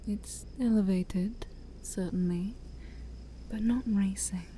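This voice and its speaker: Woman playing a dark, breathy, ancient demon princess. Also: mid-range voice, fairly even intonation, slight background noise, close to an everyday voice. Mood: teasing, curious. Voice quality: breathy, often near-whispered. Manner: slow, teasing, haughty, theatrical. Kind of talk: soft-spoken ASMR roleplay.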